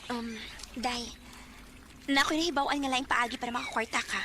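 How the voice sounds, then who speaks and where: A woman's voice: high voice, steady tone, fairly quick, pushed and bright. A woman acting a chatty, persuasive young friend in a Filipino radio drama. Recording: noisy radio drama recording.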